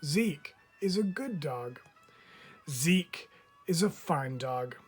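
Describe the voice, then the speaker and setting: A man voicing a kindly, soft-hearted old man in a storybook, speaking in a gentle, deliberate way. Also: fairly high pitch, animated, husky, soft background music, heavily acted. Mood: reassuring.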